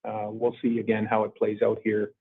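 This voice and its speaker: A man answering questions as a company executive on an earnings call, talking in measured business jargon and repeating words. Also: fairly low pitch, completely flat delivery, vocal fry, breathy edge. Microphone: conference call line. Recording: thin, phone-like conference call line.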